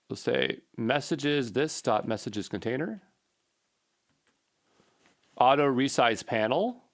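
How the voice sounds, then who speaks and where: Male programmer, mid-range voice, casual think-aloud screencast delivery, informal and self-muttering. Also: mid-range voice. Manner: informal, muttering to himself while troubleshooting. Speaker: programmer recording a coding session.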